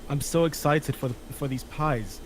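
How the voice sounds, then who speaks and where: A man acting as a genteel British food lover in his thirties. The voice is a bit high, in an amateur table read with clear background noise. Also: normal speed, lightly acted, low-quality recording. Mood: enthusiastic.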